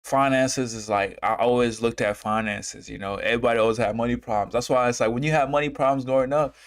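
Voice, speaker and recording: A man in his twenties from Uganda who moved to America, hosting a casual livestream and talking in a halting, rambling way, repeating phrases and circling back. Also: mid-range voice, steady tone. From Uganda, moved to America as a child. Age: 20s. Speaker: trading streamer and host.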